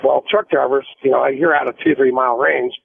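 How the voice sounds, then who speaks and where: A man's voice: medium pitch, fairly even intonation, a little fast, gravelly, slightly rough. An American man, a trucker calling a radio show, telling a casual, rambling story over a phone line. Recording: tinny narrowband phone line.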